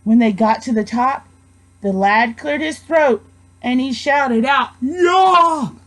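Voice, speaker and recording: A young woman with a high voice reads a children's story aloud. Her delivery is slow and expressive, following the rhymes. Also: low-quality recording. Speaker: storybook reader, education student.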